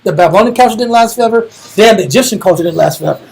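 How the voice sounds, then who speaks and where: A man with an American accent and a husky voice, teaching religion in a colloquial, emphatic way, repeating phrases for emphasis. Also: high voice, very fast, over a noisy crowd. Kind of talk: informal sermon discussion.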